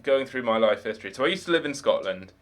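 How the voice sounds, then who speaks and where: A man's voice: mid-range voice, expressive, fast talker. A young man with no marked accent, chatting casually while live-streaming a game, rambling with many fillers and restarts.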